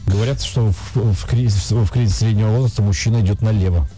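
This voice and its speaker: A man hosting a morning radio show, chatting in a relaxed, joking way. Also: fairly low pitch, quick pace, soft background music. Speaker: radio host.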